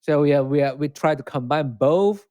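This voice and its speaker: A man's voice: on the high side, normal speed, mellow, no room sound, dull audio. A young man from Malaysia with a Malaysian accent, a podcast producer chatting playfully and filling his speech with "like". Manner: playful, chatty, many fillers. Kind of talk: casual podcast chat.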